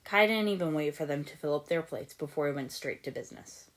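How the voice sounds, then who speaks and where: A woman's voice: low voice, a little fast. A woman reading fan fiction aloud as a scripted audiobook narration. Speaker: podfic narrator.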